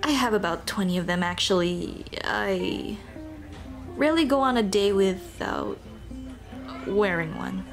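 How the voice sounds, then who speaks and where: Woman doing a flustered monster girl, asmr roleplay, slow pace, stammery and nervous, music behind her. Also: medium pitch, animated, close to an everyday voice. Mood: warm, playful. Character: shy, flustered female dullahan monster girl on a date.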